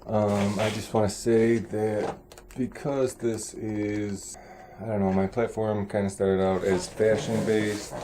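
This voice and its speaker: A young man vlogging in a casual, low-energy, nearly monotone way, with many ums and restarts. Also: slow pace, noisy background. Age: young adult. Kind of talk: casual vlog talk to camera.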